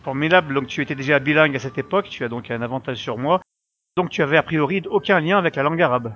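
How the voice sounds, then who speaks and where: A man hosting a podcast interview who asks his guest clear, orderly questions in a polite way. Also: a little high, fast talker, a bit bright, lo-fi sound. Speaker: podcast host.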